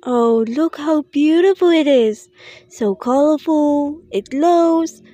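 A woman's voice: sits high, slow, mellow, no echo. A woman voicing a teasing, guilt-ridden older brother turtle hero in a theatrical, pleading way for a fan comic dub. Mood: playful gushing.

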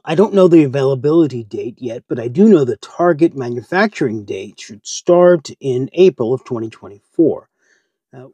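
A middle-aged man speaks as a vlog host in a casual, explanatory way. His voice is mellow and husky, recorded close and dry. He is informal and measured, addressing viewers directly. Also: mid-range voice, no echo. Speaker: ham radio channel host. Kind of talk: casual update to camera, answering viewer questions.

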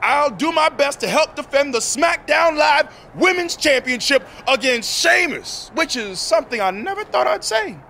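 A man playing a boisterous pro wrestler in a video game, booming like a theatrical showman. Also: lively intonation, normal speed, gravelly, hardly any pauses, music in the background, full character voice. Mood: rousing. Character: boisterous male pro wrestler hyping up his team. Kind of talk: wrestling game cutscene acting.

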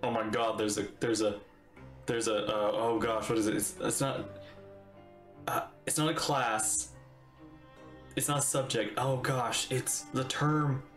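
A man's voice: medium pitch, fairly even intonation, measured pace, pauses often, a bit bright. A middle-aged man giving casual livestream commentary as he reacts to a game. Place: indoor room, webcam. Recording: roomy echo. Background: faint game music.

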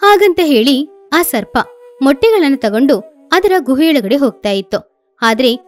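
A woman's voice: high voice, expressive, normal speed, soft background music. A woman narrating a scripted children's story.

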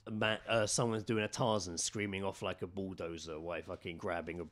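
A man co-hosting a podcast with casual comic banter, telling stories in an animated way and acting out quotes. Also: sits low, fairly quick. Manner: animated storytelling, acts out quotes in raised voice. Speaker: podcast co-host.

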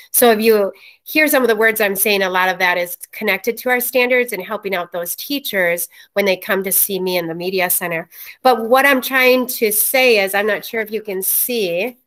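A woman's voice: mid-range voice, fairly bright tone, low-quality recording. A woman school media teacher presenting informally to colleagues on a video call from a small room, through a laptop mic. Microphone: webcam or laptop mic.

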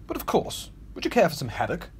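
A woman playing a breezy, wry, old-fashioned English gentleman in an amateur radio play. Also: low voice, wildly swooping pitch, fairly quick. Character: flippant, bemused English gentleman, dryly chivalrous. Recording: homemade recording with noticeable noise.

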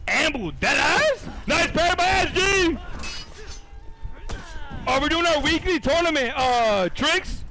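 A young man commentating a live game tournament and chatting with viewers, excitable and profane, losing his voice. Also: very high-pitched, slow, pauses often. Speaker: streamer casting a tournament.

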